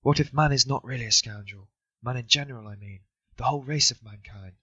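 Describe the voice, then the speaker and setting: A brooding, bitter young man muses sardonically to himself in a husky, bright-edged voice, as a male narrator plays him. The recording is dull and dry. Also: medium pitch, brisk, dry recording. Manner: muttering, sardonic musing.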